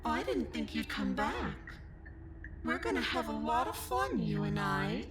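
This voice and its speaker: An actress voicing a cruel, playful princess in a horror game, taunting and menacing. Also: female voice, on the high side, wildly swooping pitch, noisy background. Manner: slow, taunting, sing-song. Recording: loud music behind.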